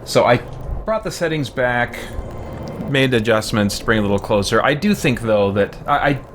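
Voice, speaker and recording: Rambling man chatting over clear background noise. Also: mid-range voice, animated, normal speed. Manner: rambling, hesitant, self-explaining. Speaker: livestream host. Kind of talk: casual livestream chat. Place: indoors at home.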